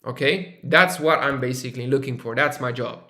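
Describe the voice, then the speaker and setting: A man from Romania talks through a live trading stream, relaxed and thinking aloud with plenty of fillers. His voice is bright and forward. The room around him sounds echoey. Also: mid-range voice, moderate pace. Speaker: live-stream trader host. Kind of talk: casual live-stream chat, reading viewer questions.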